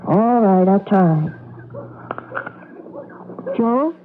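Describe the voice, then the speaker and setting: A woman's voice: mid-range voice, animated, very slow, narrow, tinny audio. An actress playing a naive, wonder-struck woman full of questions, in old-time radio drama style. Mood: hesitant curiosity. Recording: thin band-limited radio broadcast, some noise.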